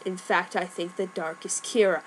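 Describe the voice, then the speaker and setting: A woman voicing a grave middle-aged police father in a quiet, serious delivery. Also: fairly low pitch, steady tone, normal speed, husky, far from the mic, low-quality recording.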